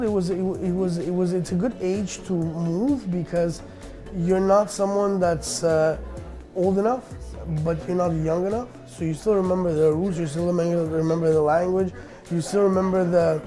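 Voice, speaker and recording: A man in his thirties answers interview questions, pausing often on fillers and starting his sentences over. His voice sits a little high and sounds mellow and soft. Faint music plays underneath. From Armenian, born in Russia, raised in Canada. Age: 30s. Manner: hesitant, many fillers and restarts. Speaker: business owner.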